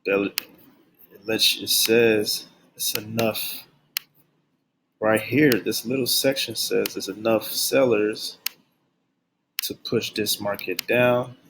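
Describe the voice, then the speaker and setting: A young man teaching forex basics, keeping his voice low and subdued, and repeating key phrases over and over as he drills them in. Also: fairly even intonation, slow, long pauses, background noise. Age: young adult. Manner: speaks low and subdued on purpose. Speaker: forex trading instructor. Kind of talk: screen-share trading lesson. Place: at his workplace.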